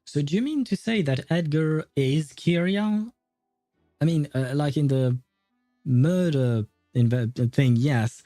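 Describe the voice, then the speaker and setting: Male game streamer, very soft dark tone, casual reactive commentary, thinking aloud, roomy sound over faint music. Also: mid-range voice. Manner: thinking aloud, informal, mild swearing. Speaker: livestreamer playing a story game.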